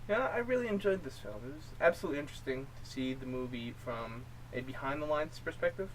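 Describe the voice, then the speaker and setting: A man's voice: on the high side, animated, fast. A man co-hosting a film review show, chatting casually and explaining his opinions. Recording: distant mic, faint room noise.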